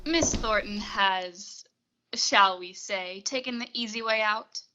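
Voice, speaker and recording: A woman's voice: medium pitch, muffled recording, noisy background, natural voice. A young woman acting a cool, formal, menacing blackmailer receptionist in a 1940s-style radio suspense play. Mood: cold, calculating. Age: young adult. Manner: formal, measured, polite menace. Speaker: high school theatre student actor.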